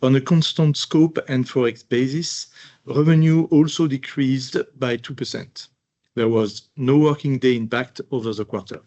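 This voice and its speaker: A man's voice: mid-range voice, steady tone, normal speed, a little mellow, dull audio. A man reading a scripted financial presentation in a formal way, as a chief financial officer.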